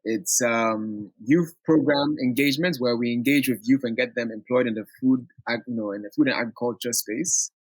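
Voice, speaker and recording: A man from Ghana, a guest in an interview, talking in a thoughtful, relaxed way with many pauses. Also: mid-range voice, dry recording, low-quality recording. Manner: thoughtful, hesitant with fillers, relaxed. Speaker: guest, food movement director. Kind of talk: casual interview.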